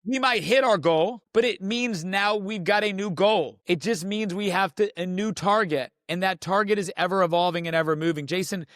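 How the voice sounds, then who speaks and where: A man with a slightly high voice hosts a podcast in a dry, close-sounding recording. He interviews in a conversational style, building up to his questions with long, considerate lead-ins. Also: moderate pace, few pauses, a bit bright, dry recording.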